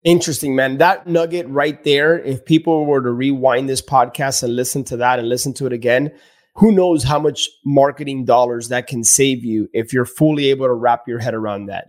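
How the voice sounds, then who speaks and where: A male podcast host with a Cuban accent who talks in a relaxed, enthusiastic way. His voice sits in a middle register, and he keeps nodding along with his guest. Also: medium pitch. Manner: enthusiastic, affirming with "man" and "yeah". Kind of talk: casual podcast interview.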